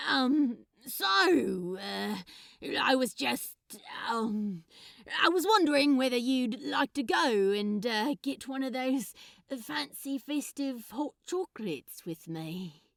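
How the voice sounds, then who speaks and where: Woman playing awkward lovestruck male demon, stammering, nervous, dramatic, high-pitched and swooping, storybook reading. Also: expressive, creaky, a bit bright, no room sound, clean studio sound, exaggerated voice. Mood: nervous, halting. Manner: halting, stammering, full of ums and nervous hesitations.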